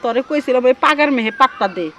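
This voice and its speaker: A woman's voice: high-pitched, fast, fairly bright tone. A woman voicing a brash, scolding village mother in a comic cartoon, with a rural Bangladeshi accent. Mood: scolding, amused. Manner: scolding, coarse, boastful. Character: brash, heavyset village mother fiercely defending her son.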